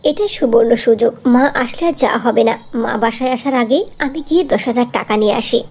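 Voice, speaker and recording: A woman voicing a gentle, mistreated young stepdaughter in a fairy-tale cartoon, speaking in a plaintive, polite and earnest way. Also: a little high, brisk, breathy edge. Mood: hopeful.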